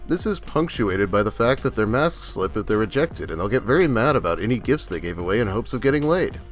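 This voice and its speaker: A man's voice: fairly low pitch, lively intonation, fairly quick, faint music behind. A man hosting a scripted commentary in a sardonic, mocking manner. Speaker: host of a commentary video.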